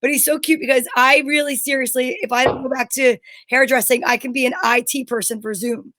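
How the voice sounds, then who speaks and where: A woman with a high voice co-hosts a casual live show. She sounds upbeat and enthusiastic, chatting freely. The sound is dry, with very little room in it. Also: fairly even intonation, a little fast, bright, forceful tone, no room sound. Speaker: co-host of an online comedy show.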